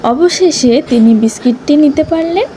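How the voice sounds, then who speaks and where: A woman's voice: sits high, moderate pace, warm tone. A woman narrating a scripted story for young children as a storyteller.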